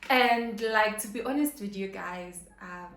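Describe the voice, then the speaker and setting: A woman's voice: mid-range voice, a little slow, a bit bright. A young woman vlogging in casual chat to camera, earnest and rambling with lots of fillers. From South Africa, KwaZulu-Natal. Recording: roomy echo, faint background hum.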